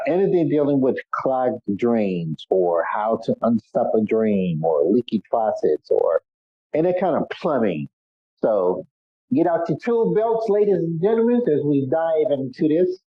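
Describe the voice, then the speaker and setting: A man's voice: mid-range voice, no room sound. A man hosting a casual call-in show, stuffed up and a little nasal, talking in an easygoing, rambling way with lots of "um"s. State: congested, stuffed up. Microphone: phone or conference call line. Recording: tinny phone line, dry.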